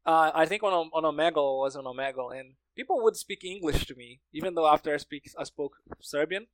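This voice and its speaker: Brazilian-accented man, native Portuguese speaker, slightly high pitch, dry recording, informal storyteller. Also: brisk, no echo, poor audio. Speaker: podcast speaker, language learner. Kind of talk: casual conversation.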